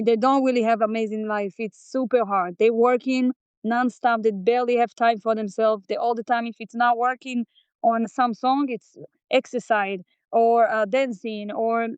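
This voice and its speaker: A woman's voice: on the high side, smooth, no echo. A woman from Israel, a singer, earnestly talking about her work in loose English as an interview guest. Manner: earnest, loose non-native English grammar. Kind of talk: casual interview chat. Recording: dull, dry close-mic audio.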